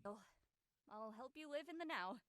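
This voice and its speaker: A woman's voice: sits high, lively intonation, normal speed, a bit bright, no room sound. A woman playing a bold, carefree teenage sister, playful and provocative, in a scripted drama. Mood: earnest.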